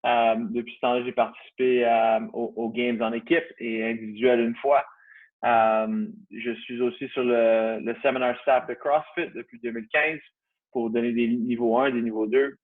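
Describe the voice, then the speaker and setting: A man's voice: medium pitch, level tone, normal speed. A man in his thirties with a Canadian French accent, a guest in a casual interview, talking hesitantly with many restarts. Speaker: guest, CrossFit gym owner. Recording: tinny phone-like line.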